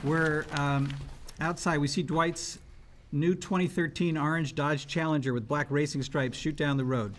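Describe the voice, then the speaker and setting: A man's voice: on the high side, steady tone, measured pace. A man narrating scripted stage directions at a live table read.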